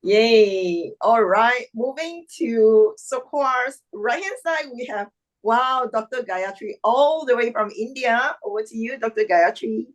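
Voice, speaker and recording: A woman's voice: fairly high pitch, expressive, slow, poor audio. A woman hosting an online club meeting over a video call, introducing guests in an upbeat, exclamatory way.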